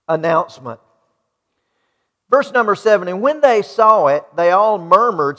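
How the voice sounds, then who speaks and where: A middle-aged American man preaching a sermon, emphatic, putting rhetorical questions to his congregation. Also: high-pitched. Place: large church sanctuary, indoors. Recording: reverberant church hall.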